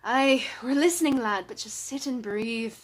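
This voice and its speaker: A woman's voice: a little high, measured pace. Woman acting a firm, commanding male dwarf. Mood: steady, reassuring. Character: strong male dwarf villager, gruffly calming.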